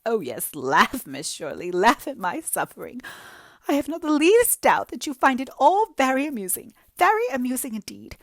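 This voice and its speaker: A woman's voice: fairly high pitch, sing-song, normal speed, no echo, studio quality, voice pushed up. A woman reading a book aloud and voicing a melodramatic, self-pitying young woman with gushing, theatrical talk. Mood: wailing. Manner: gushing, theatrical, breathless overstatement.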